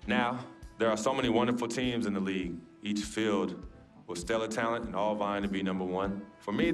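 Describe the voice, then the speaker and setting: A man's voice: lowish voice, lightly acted. An actor playing an earnest young male basketball star in a video game cutscene, laid-back with his family and formal at a press conference. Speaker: pro basketball player.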